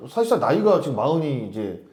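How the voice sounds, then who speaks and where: A man's voice: mid-range voice, sing-song, fast, darkish tone, roomy sound. A man giving casual, detailed baseball analysis, explaining at length and often adding his own view. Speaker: baseball commentator.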